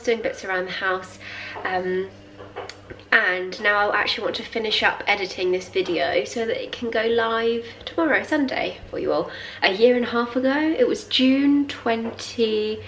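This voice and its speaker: A woman's voice: mid-range voice, normal speed, fairly bright tone, a little hiss or hum, low-quality recording. British woman vlogging with a head cold. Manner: rambling, filler-heavy, lots of "like" and "um". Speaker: lifestyle vlogger. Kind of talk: casual chatty vlog to camera. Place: home kitchen, indoors. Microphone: handheld camera at arm's length.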